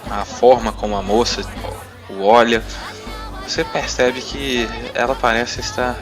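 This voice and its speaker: A man's voice: mid-range voice, measured pace, a crowd in the background. A man narrating a tabletop roleplaying game as game master, with music behind him. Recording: clear music bed behind.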